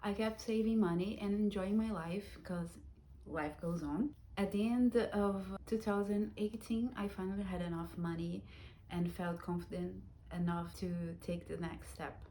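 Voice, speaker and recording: A woman in her thirties from Brazil, telling her own life story in a reflective, soft-spoken vlog, with Portuguese as her first language. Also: medium pitch, fairly even intonation, a little slow, a little hiss or hum. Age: 30s. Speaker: vlogger.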